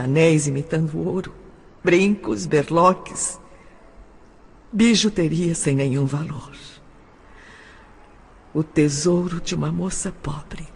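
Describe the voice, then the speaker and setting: A woman playing a sorrowful adopted orphan recalling her childhood in an emotive, deliberate audio drama monologue. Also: lowish voice, a little mellow, husky, exaggerated voice. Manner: slow, emotive, deliberate delivery. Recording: long hall-like reverb.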